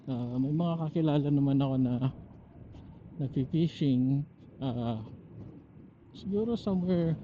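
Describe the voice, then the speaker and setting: A man with a Filipino accent chatting casually on his own in a reflective way, pausing mid-thought, through a motorcycle helmet intercom microphone. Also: medium pitch. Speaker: motovlogger and angler. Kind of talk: casual, rambling solo vlog chat. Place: outdoors, riding a motorcycle. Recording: dull helmet intercom audio, faint noise.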